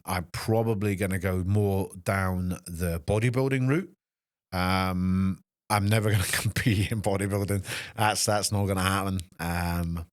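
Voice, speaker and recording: A Scottish man in his fifties, a fitness trainer hosting a solo podcast, speaking in an emotional, halting way with many restarts. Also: sits low, dry recording, studio quality. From Scotland. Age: 50s. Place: small podcast studio.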